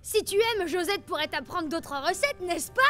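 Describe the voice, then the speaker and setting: A cheeky school-age child in a kids' cartoon. The voice is very high and animated, full of pluck, with music underneath. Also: female voice, moderate pace. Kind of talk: French children's cartoon acting.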